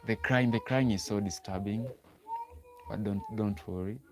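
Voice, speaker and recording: A man's voice: fairly low pitch, normal speed. A young Kenyan man hosting a casual livestream, often repeating words and restarting sentences as he talks to viewers. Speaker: livestream host.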